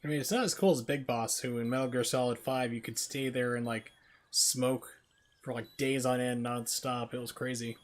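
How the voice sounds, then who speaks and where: A man's voice: medium pitch, steady tone, normal speed. A man giving casual, chatty, amused commentary while livestreaming a video game. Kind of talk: casual gaming stream commentary.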